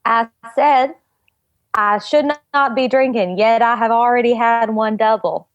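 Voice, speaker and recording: A woman playing a nervous, evasive daughter with a hesitant, deadpan delivery in an improvised comedy table read. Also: fairly high pitch, a little slow, no echo, lo-fi sound, natural voice. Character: nervous, evasive pregnant daughter in a comic melodrama.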